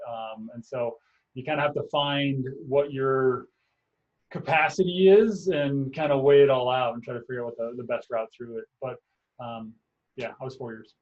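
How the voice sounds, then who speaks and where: A man professor from Montana talks loosely over a video call, hesitating often. His pitch is middling. The audio is dull and lo-fi. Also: moderate pace. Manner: relaxed, hesitant, fillers. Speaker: engineering professor.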